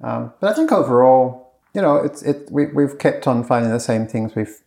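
A man's voice: medium pitch, lively intonation, normal speed, creaky, darkish tone. A man being interviewed in casual conversation, talking in a loose, rambling way with lots of fillers and restarts. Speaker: course creator being interviewed.

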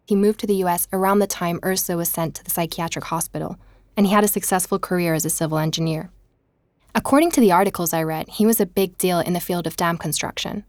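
An actress playing a curious, self-assured investigator in a naturalistic audio drama. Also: female voice, fairly low pitch, close to an everyday voice. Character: curious, self-assured female investigator reporting her findings.

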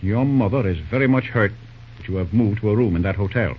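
An actor playing a stern, pompous middle-aged railroad president and disapproving father in an old-time radio drama, formal and halting. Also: fairly low pitch, breathy edge. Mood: stern, indignant. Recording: dull old radio show recording.

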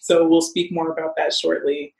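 A woman's voice: low-pitched, level tone, moderate pace, gravelly, a little mellow, echoey room, poor audio. A woman from Nigeria, a curator and writer, chatting as a podcast guest in a friendly way. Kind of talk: casual podcast chat.

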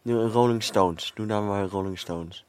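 An actor playing a bemused, reluctant comedian guest in a radio comedy, hesitant and objecting in a natural way. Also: male voice, on the low side, normal speed, a little mellow, clean tone, no room sound, close to an everyday voice. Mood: bewildered.

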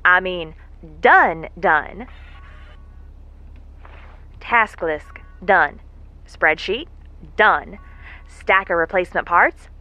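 A weary, wisecracking female engineer in an audio drama. She mutters slowly to herself in a bright, salty, effortful voice. The sound is somewhat dull, with music behind. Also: medium pitch, no room sound. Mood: relieved, wry. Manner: muttering, self-amused, effortful. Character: weary, salty, wisecracking woman moon engineer working alone.

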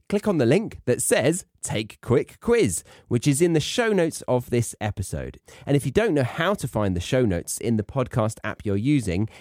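A British man hosts a podcast for English learners in a playful, conversational style. His intonation is very animated, and the sound is dry and close. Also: mid-range voice, dry recording. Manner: playful, jokey. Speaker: podcast host and English teacher.

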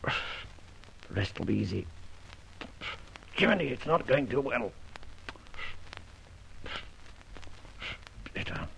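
An actor playing a cool, jaunty gentleman adventurer with breezy quips in an old radio serial. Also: mid-range voice, sing-song, normal speed, creaky, slight background noise, heavily acted, rough recording. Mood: tense, determined. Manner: breezy, quipping.

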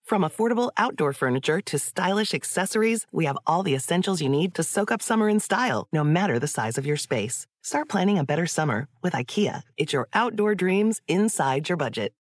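A woman's voice: fairly low pitch, expressive, brisk, hardly any pauses, no room sound, studio quality. A woman announcer delivering scripted advertising narration. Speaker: commercial announcer.

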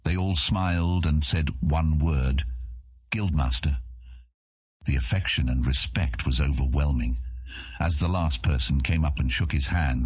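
A man's voice: very deep voice, husky, no echo, studio quality. A man narrating a scripted fantasy audiobook in a dramatic storytelling style.

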